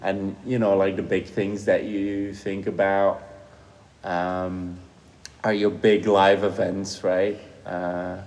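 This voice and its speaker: A man's voice: deep voice, little pitch movement, takes it slowly, a little mellow, rough recording. A man, a Dutch speaker and teacher, answering interview questions thoughtfully and hesitantly with many fillers. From Flemish Belgium. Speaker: primary school teacher interviewed by a pupil.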